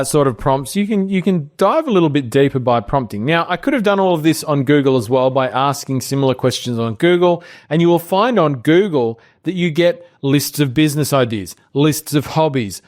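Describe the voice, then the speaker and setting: A middle-aged Australian man, co-host of a business training show, walks through a screen-share tutorial in a relaxed, explanatory way, thinking aloud with fillers. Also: fairly high pitch.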